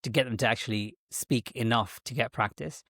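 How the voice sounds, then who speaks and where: A man's voice: mid-range voice, brisk, pushed and bright, no echo. Brief: male interview guest, chatty and rambling, keeps restarting sentences, dry close sound. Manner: rambling, frequent restarts and self-corrections. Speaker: guest, tech executive and parent. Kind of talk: conversational interview.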